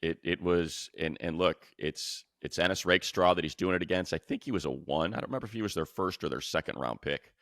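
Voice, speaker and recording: American male sports host, deep voice, dry studio sound, conversational analysis with emphatic repeats. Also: a little fast, gravelly, hardly any pauses, a bit bright, no room sound. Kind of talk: casual football commentary.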